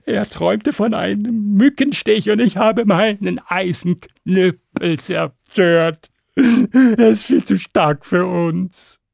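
A man voicing a big, cowed young dragon son in a fairy tale, loud, urgent and whining. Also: high voice, slow, creaky, no room sound, studio quality, voice pushed up, heavily acted. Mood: dismayed.

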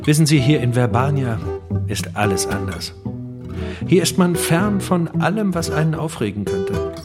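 A man's voice: fairly high pitch, lively intonation, normal speed, lightly acted. An actor playing a self-assured crook turned writer, telling his tales dramatically in a radio play. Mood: self-assured, reflective. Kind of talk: theatrical radio play monologue and narration. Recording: studio radio play with guitar music.

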